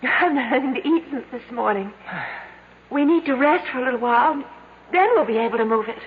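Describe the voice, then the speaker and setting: A frightened young woman speaks in a high, pleading voice with long cavernous reverb. The old radio drama sound is thin and phone-like. She is tender and theatrical in her fear. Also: animated, fast talker. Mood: subdued, tender. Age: young adult. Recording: tinny, noisy radio recording with long reverb.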